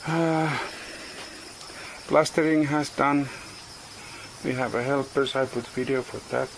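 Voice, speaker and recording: Guy in his 40s filming himself on a phone outside, halting english, crickets and bugs loud in the background. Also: mid-range voice, steady tone, vocal fry, slight background noise, rough recording. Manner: halting, searching for words, non-native English. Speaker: farm vlogger. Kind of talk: casual vlog narration. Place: outdoors on a tropical farm. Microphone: phone camera at arm's length. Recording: outdoor ambience with insects and animals.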